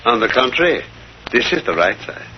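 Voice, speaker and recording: A man acts out a suave detective from a 1930s radio play. His talk is theatrical and dryly ironic, with animated rises and falls. The old recording is dull, with a light bed of noise. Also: medium pitch, a little fast, natural voice. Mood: suave irony. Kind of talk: 1930s radio drama acting.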